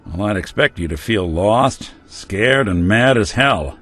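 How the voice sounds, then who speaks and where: An actor playing a world-weary male synth detective in a game, talking with a gruff, laconic noir drawl. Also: lowish voice, animated, measured pace, fairly bright tone, full character voice. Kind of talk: game companion dialogue.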